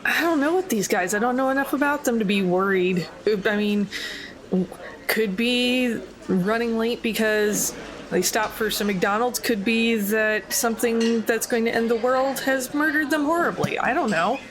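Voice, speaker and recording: A woman's voice: fairly high pitch, normal speed, rough recording. A woman talking casually as a player in a tabletop roleplaying game.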